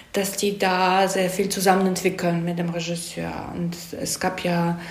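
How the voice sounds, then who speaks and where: A woman's voice: mid-range voice, darkish tone. A woman speaking as an interviewed screenwriter, explaining things casually and often checking for agreement with little tags. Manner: conversational, frequent "ne?" tags seeking agreement. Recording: echoey room.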